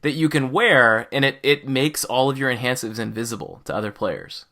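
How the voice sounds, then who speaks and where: A man's voice: medium pitch, lively intonation, moderate pace, bright, forceful tone. A man hosting a podcast, chatting casually about a game in a rambling, enthusiastic and emphatic way. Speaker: podcast host.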